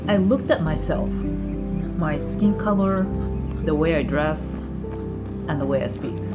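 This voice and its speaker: Narrator: woman from Japan, very low voice, calm and reflective, loud music under her. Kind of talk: scripted documentary narration.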